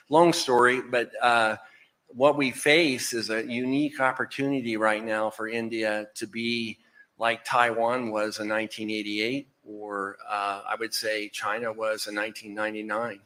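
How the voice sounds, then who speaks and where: A man's voice: lowish voice, sharp tone. A man with a Texan accent giving an informal panel answer, laying out his points one by one. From Texas, United States. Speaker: panelist, semiconductor industry executive. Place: live conference stage.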